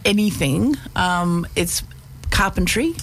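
A woman's voice: on the low side, expressive, slow, slight background noise, rough recording. An Australian woman, a community centre's education coordinator, talking enthusiastically on a radio interview. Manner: enthusiastic, warm talker. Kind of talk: casual interview chat.

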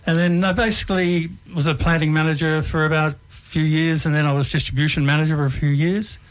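An older Australian man telling his life story as a radio guest, relaxed and hesitant, with frequent ums. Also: on the high side, fast talker.

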